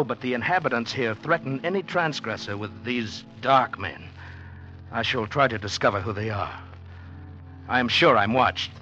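Man acting bewildered earnest gentleman, sharp medium-pitched voice, hesitant, intense, 1940s radio drama, muffled vintage sound. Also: lightly acted. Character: anxious, earnest gentleman, bewildered newcomer and diarist. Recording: dull old 1940s radio recording.

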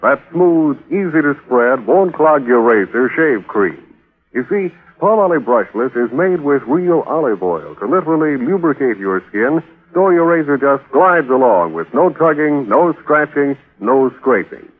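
A man's voice: mid-range voice, sing-song, unhurried. A man announcing a commercial in an emphatic, polished 1940s radio sales style. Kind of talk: 1940s radio advertising pitch. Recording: tinny old radio recording.

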